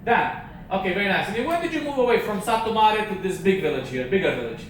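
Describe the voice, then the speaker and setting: Comedian teasing the crowd. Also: male voice, high-pitched, big pitch swings, heavy reverb, far from the mic, a little hiss or hum. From Romania. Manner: quick teasing banter, frequent rhetorical "okay?". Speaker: stand-up comedian doing crowd work. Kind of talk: stand-up crowd work. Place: comedy club.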